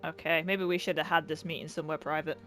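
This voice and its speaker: A woman's voice: low voice, flat delivery, fast, bright, forceful tone, faint music behind. Sarcastic, sweary woman gamer. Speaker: gaming commentator. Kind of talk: casual let's-play commentary.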